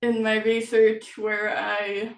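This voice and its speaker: A woman author chatting casually in an interview, speaking in halting fragments that trail off. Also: fairly high pitch, monotone. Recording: roomy echo, distant from mic.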